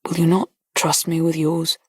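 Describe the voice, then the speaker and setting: Restrained male colonel, read by a woman, quiet, measured, low voice. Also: level tone, breathy edge, dry recording, studio quality. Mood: gentle. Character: grave, gentle army colonel, restrained man.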